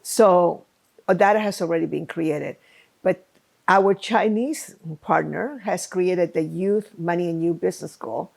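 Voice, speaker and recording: Gravelly woman guest, emphatic. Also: fairly low pitch, unhurried, darkish tone. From Chile. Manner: emphatic, speaks in short bursts. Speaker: guest, program founder. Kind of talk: conversational interview.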